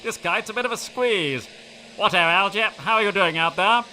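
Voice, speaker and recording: A dashing, brash British flying ace, played by a man in a comic radio play. He talks with breezy upper-class banter. His voice sits high and very bright. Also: moderate pace, slight background noise, low-quality recording. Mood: jaunty.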